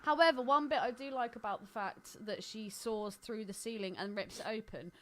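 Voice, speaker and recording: A woman's voice: on the high side, normal speed, a bit bright, slight background noise, poor audio. A young British woman co-hosting a podcast, joking crudely and irreverently in casual film chat. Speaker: podcast co-host.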